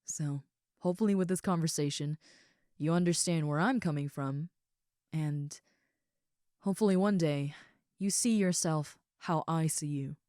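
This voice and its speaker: Affectionate young female ogre roommate played by a woman for comfort roleplay audio: very low-pitched, relaxed, soft and sincere, close dry sound. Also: a little slow, pauses often, no room sound. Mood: tender, sincere. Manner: soft, sincere, rambling, reassuring.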